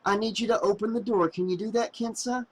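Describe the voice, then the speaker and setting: A man voicing a woman crewmate who coaxes and pleads gently, then turns stern. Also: high-pitched, steady tone, fairly quick, pitched above the performer's own voice. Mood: coaxing. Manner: soft coaxing, pleading.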